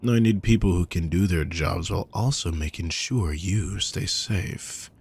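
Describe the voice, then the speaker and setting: A man acts out a rich, courtly villain in an intimate audio drama. His very low voice is rough with gravel and husk. He speaks with measured formality, possessive and manipulative, with a calm, soft menace. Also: heavily acted. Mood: cold, controlled. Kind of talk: intimate scripted roleplay audio.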